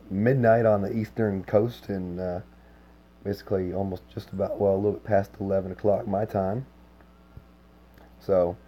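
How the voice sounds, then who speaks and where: A man with a hoarse, worn voice chatting casually with his livestream viewers, easygoing and full of filler words. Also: lowish voice, dark tone. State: hoarse, lost voice. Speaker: game streamer.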